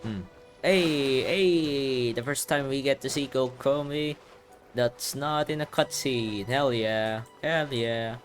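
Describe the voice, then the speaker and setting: Low-voiced young man, offhand gaming commentary. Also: slow pace. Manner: slangy, offhand. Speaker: gamer, playthrough host. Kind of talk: casual gameplay commentary. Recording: game music behind.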